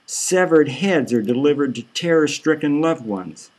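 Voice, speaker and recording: A man's voice: a little high, expressive, unhurried. A man voicing an earnest, probing male television interviewer in an audiobook, pressing and emphatic. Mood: accusing.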